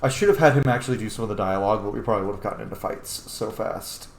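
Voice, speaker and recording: A man's voice: fairly low pitch, very fast. A man giving casual, playful commentary on a game and chatting to its characters as he plays. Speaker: gamer narrating a playthrough. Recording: echoey room, background noise.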